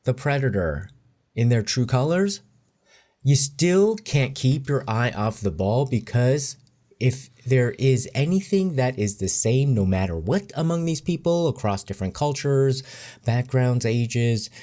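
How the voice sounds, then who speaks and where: A man giving casual commentary in a deliberate, measured way. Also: mid-range voice, very animated, warm tone. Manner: deliberate, measured delivery with occasional laughs. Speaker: co-host commentator.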